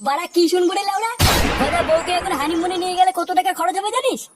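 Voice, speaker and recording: A performer with a pitched-up voice plays a grumpy, foul-mouthed married man in a crude comedy cartoon. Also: fast, heavy background noise. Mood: grumbling. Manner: coarse, swearing, complaining.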